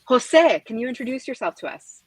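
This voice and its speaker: A woman author moderating a panel, introducing speakers and asking questions in a clear, orderly way. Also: on the high side, sing-song, brisk, no echo, poor audio. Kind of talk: hosting a panel discussion.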